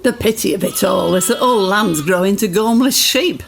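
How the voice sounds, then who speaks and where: A woman playing a dry, weary sheep farmer, wry and deadpan in a comic radio monologue. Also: medium pitch, moderate pace, gravelly, background noise, lightly acted. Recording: music behind the voice.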